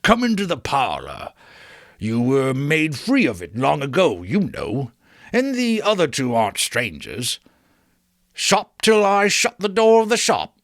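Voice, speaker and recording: A man's voice: fairly high pitch, wildly swooping pitch, measured pace, no echo, clean studio sound, exaggerated voice. A man voicing a crafty, jovial old rag-shop dealer in a storybook reading, with a hearty, wheedling manner. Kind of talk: dramatic audiobook character voice.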